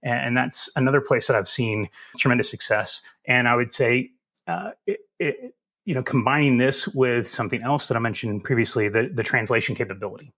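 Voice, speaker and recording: A man with a Southern US accent, answering interview questions in a relaxed, folksy and self-deprecating way. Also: medium pitch, brisk. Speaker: security instructor as guest.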